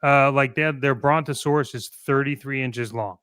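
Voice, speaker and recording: A man with a clear, smooth voice and a close, dry sound, chatting casually as a talk show co-host. He is blunt and opinionated in how he puts things. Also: medium pitch, steady tone, normal speed, a bit bright, no echo. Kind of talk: casual chat about toy collecting.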